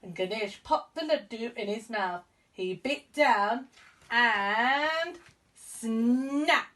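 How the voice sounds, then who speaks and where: A woman narrating a children's story expressively as a storyteller, with clear emphasis for young listeners. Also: a little high, lively intonation, very slow, fairly bright tone, far from the mic. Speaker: storyteller, school reader.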